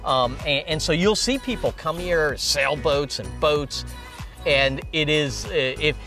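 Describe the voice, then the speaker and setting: Middle-aged man, lively local publisher, slightly high voice, interviewed outdoors on a lapel mic. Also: slow pace, soft background music. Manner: animated, talks with hands. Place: outdoors at a waterfront construction site.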